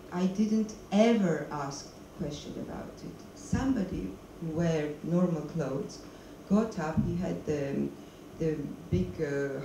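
Gravelly-voiced woman in an echoey hall. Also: low voice. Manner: searching for words, non-native English. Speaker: documentary filmmaker, guest. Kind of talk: stage Q&A interview. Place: stage of a screening hall, seated. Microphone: handheld mic. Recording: echoey hall, noisy, mic from a distance.

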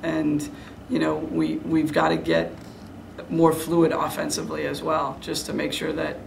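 Middle aged woman coach, really creaky flat voice, serious postgame answers, bit of background noise. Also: sits low, unhurried, rough recording. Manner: frank, self-critical, serious. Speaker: head basketball coach. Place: indoor room before a press backdrop.

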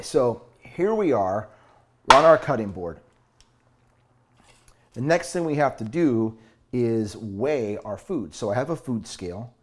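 A man in his thirties, a fitness coach, talking through a meal in his home kitchen, earnest and upbeat, explaining step by step. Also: mid-range voice. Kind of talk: cooking demo talk to camera.

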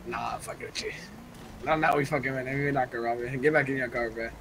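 A man's voice: mid-range voice, steady tone, fast, vocal fry. A man chatting casually in slangy, profane language as he plays an online roleplay game. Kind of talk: casual gaming chat. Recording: noisy game audio with engine sounds. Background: game car noise.

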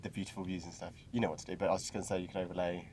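A man's voice: deep voice, little pitch movement, very fast, a little mellow, slight background noise. A young British man telling a travel story in casual chat with dry, teasing asides, sitting outdoors at a hilltop viewpoint. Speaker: travel vlogger.

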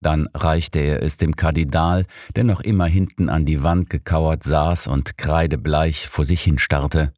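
A man's voice: a bass voice, fairly even intonation, a little slow, few pauses, darkish tone, no room sound, clean studio sound. A man reading a scripted first-person story as an audiobook narrator.

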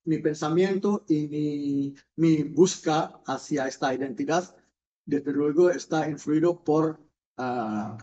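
Indonesian man, slow and halting. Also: on the high side, warm tone. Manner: halting, many filler sounds, gestures while speaking. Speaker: orchestra founder and artistic director. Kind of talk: addressing viewers in a recorded video message. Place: home music room with piano. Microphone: webcam or laptop mic at desk distance. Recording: dull lo-fi webcam audio.

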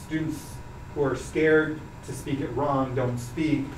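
A young man teaching a language class, explaining patiently with relaxed fillers. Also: on the high side, slow pace. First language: English. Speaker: Hebrew teacher. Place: carpeted classroom, students seated around. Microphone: far camera. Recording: echoey room from far off, noisy.

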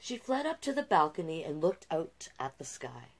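A middle-aged woman reading a children's picture book aloud with expressive delivery and casual asides. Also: low-pitched, a little hiss or hum. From Canada. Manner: unhurried, expressive storybook delivery. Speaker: storytime host and drag king. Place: small cluttered room indoors.

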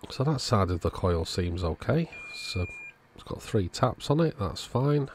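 A man, host of an electronics repair video, calmly thinking aloud as he methodically works on a radio at his workbench. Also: fairly low pitch, a little slow, slight background noise. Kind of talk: casual narrated repair walkthrough.

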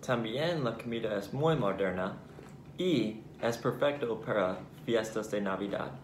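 A young man with an American accent and English as his first language, co-hosting a cooking vlog in deliberate learner's Spanish. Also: lowish voice, expressive. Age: young adult. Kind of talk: rehearsed recipe narration. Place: indoors, home kitchen and dining room. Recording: echoey home kitchen, loud background noise.

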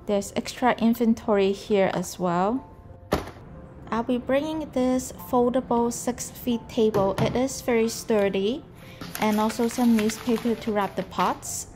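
Woman, 30s, potter vlogger, unhurried and soft-spoken over background music. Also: a little high, fairly even intonation. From Canada. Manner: slow, gentle, careful delivery. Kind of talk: calm explanatory vlog narration. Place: home room indoors.

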